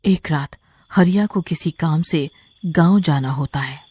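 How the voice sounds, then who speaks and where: A man narrating a scripted horror story dramatically, with suspenseful, drawn-out phrasing. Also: fairly high pitch, darkish tone, a little hiss or hum.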